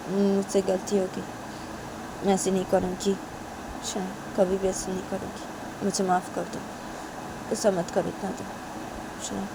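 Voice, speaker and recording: A woman's voice: mid-range voice, noisy background. A woman voicing a meek young woman who stammers out apologies.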